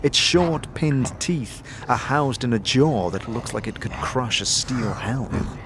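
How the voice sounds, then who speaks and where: A man's voice: mid-range voice, lively intonation, slow. A man delivering dramatic scripted narration as the game master of a fantasy story. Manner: descriptive second-person storytelling. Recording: clear background noise, ambience.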